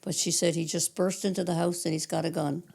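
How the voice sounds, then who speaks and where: A hoarse older woman from Cape Breton, her raspy voice full of gravel and sitting a touch low. She recalls names and details from decades back in a steady, matter-of-fact way, like a retired dispatcher sharing stories with family. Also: a little fast. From Cape Breton Island, Canada. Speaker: guest, retired 911 dispatcher. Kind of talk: casual storytelling interview.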